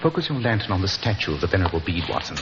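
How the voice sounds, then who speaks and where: Commanding english gentleman detective, lowish voice that swoops around, crisp, muffled old radio show. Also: big pitch swings, long reverb tail, over music. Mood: confident, explanatory. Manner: crisp, authoritative, deliberate. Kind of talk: 1940s radio acting. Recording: muffled, hissy 1940s radio recording.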